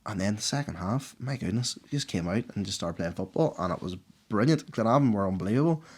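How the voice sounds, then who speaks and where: Hoarse, enthusiastic Northern Irish man. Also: lowish voice, fast. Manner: enthusiastic, animated, laughs easily. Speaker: podcast co-host giving the sport round-up. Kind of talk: casual podcast chat.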